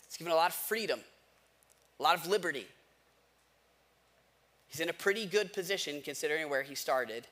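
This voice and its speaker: An American man preaches in a somewhat high, bright and forceful voice. He tells the story conversationally, like a pastor talking straight to his listeners, and drops in wry, informal asides.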